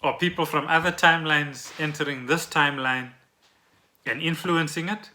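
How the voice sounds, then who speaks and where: A man's voice: fairly high pitch, fairly even intonation, moderate pace, pushed and bright. A middle-aged South African man co-hosting a podcast, chatting casually and asking questions. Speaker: podcast co-host.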